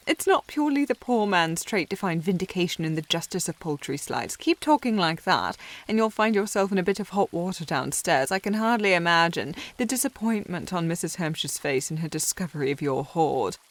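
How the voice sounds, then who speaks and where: Snooty young lady, acted by a woman. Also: lowish voice. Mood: haughty, teasing. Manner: haughty, snooty, clipped formal phrasing. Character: snobbish, teasing young upper-class lady, secretly fond. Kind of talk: scripted ASMR historical romance roleplay.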